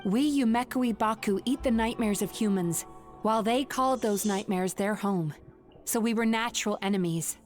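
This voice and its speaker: A woman playing a calm, knowing young dream-eating yokai in an anime video game, explaining in measured lines. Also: mid-range voice, soft background music, lightly acted. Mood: grave, knowing.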